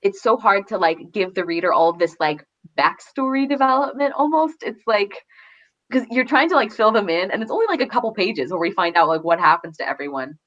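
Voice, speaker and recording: A woman's voice: mid-range voice, animated, fairly quick, a bit bright, highs cut off. A woman chatting casually about books in a rambling, conversational, giggly way. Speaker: book discussion host. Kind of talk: casual book discussion chat.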